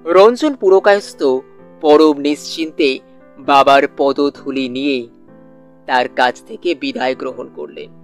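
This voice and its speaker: A man narrating a literary suspense story in a measured way, with deliberate pauses. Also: a little high. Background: soft music.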